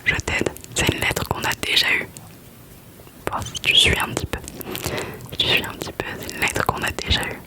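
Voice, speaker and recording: Young girl whispering asmr, soft and breathy, keeps repeating letters, chatty and joking. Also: moderate pace, pauses often. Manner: playful, chatty, self-mocking. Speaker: ASMR creator.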